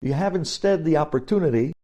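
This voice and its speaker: A man's voice: a little high, fast, warm tone. A man speaking as a rabbi giving a lesson on religious law, emphatic, repeating key phrases and posing rhetorical questions.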